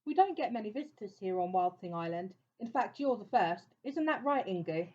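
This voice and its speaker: A woman's voice: mid-range voice, animated, fairly quick, dark tone, muffled recording, lightly acted. A woman voicing a nervous, earnest monster in a children's audio play. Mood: chatty. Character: nervous, chatty wild thing monster.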